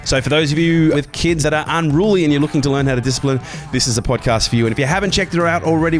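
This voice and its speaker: An Australian man hosting a podcast interview, chatting keenly with his guest. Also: medium pitch. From Brisbane, Australia. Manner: enthusiastic, quick chat with many agreements. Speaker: podcast host.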